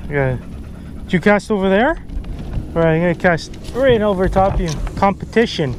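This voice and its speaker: A man's voice: high-pitched, lively intonation, normal speed. A man vlogging from a fishing boat, teasing his wife in cocky, joking banter and hyping up his catches. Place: open boat on a big lake, outdoors. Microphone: action camera on the boat. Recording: noisy outdoor boat audio. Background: wind and water noise.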